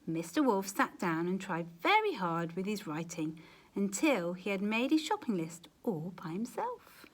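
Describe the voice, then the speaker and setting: Animated woman reading a children's storybook. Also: mid-range voice, a little slow. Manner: animated, dramatic emphasis, quiet asides to young listeners. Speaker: storyteller, teacher. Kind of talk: expressive children's storybook read-aloud.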